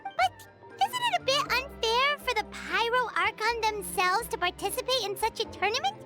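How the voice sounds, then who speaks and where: A woman's voice: animated, normal speed. A woman voicing a tiny, chirpy, greedy floating girl companion in a game, who talks about herself in the third person. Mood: thoughtful. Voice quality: very high squeaky voice. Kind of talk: game voice acting. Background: game music.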